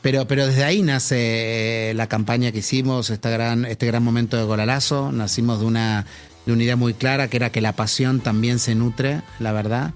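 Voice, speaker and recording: Argentine man talking over soft music. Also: mid-range voice, steady tone, moderate pace. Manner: thoughtful, many fillers and restarts. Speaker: marketing executive guest. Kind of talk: conversational podcast interview.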